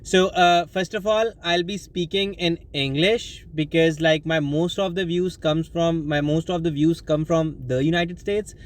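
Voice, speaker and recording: A man's voice: a little high, fairly even intonation, unhurried, few pauses. A young man from Pakistan with a Pakistani accent, whose first language is Urdu, giving rambling, apologetic study advice full of fillers and restarts, recorded inside his car. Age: young adult. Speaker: student vlogger. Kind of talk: casual advice vlog.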